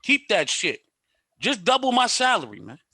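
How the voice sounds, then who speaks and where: A man co-hosting a podcast, cursing and agreeing emphatically in an animated way. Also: high-pitched, normal speed, husky, no echo, studio quality. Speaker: podcast co-host. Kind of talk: profane casual chat.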